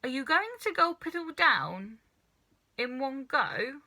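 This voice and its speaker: A woman's voice: sits high, sing-song, takes it slowly, sharp tone, voice pushed up, heavily acted. A woman voicing an eager, polite, slightly cheeky young boy in a children's storybook. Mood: polite, questioning.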